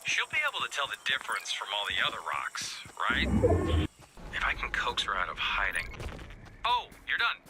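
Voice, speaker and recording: Nervy nerdy male scientist from a game, wry and halting, swings around a lot, noisy rumble behind. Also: wildly swooping pitch. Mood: awkward, upbeat. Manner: halting, wordy, wry, formal vocabulary. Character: anxious, wordy male scientist leading a resistance group. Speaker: game character. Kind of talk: video game voice acting.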